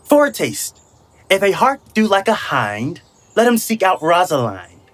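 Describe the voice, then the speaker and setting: A woman's voice: fairly low pitch, big pitch swings, a little slow. A woman playing a mocking, bawdy and witty court fool in a Shakespearean radio comedy. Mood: teasing. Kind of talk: Shakespearean comic acting for radio play. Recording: faint background noise.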